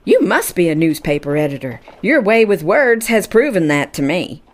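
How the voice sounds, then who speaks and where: A woman's voice: lowish voice, big pitch swings, moderate pace, slight background noise. A woman playing a bold, boastful gunslinger who speaks in grand, formal old-west phrases in an audio drama. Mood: proud, amused. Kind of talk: children's western audio drama acting.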